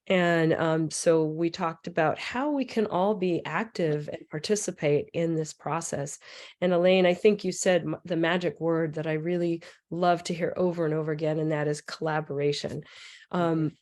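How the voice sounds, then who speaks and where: A woman with a raspy voice, hoarse from a cold, giving a loose, rambling presentation with many fillers over a computer mic on a video call. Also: sits low, steady tone, moderate pace, darkish tone. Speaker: transit equity advocate presenter.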